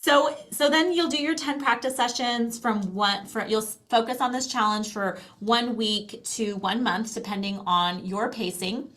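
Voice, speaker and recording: A woman's voice: a little high, a little slow, few pauses, fairly bright tone. A middle-aged American woman explaining a language program in a casual, chatty and encouraging way. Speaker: language program presenter and guest. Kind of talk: casual explanatory product demonstration.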